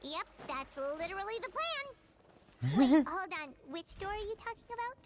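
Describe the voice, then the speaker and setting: A woman voicing a small, excitable, childlike female fairy companion in a video game, squeaky and boastful. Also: measured pace, heavily acted. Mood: curious, startled. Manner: boastful, speaks of herself in third person.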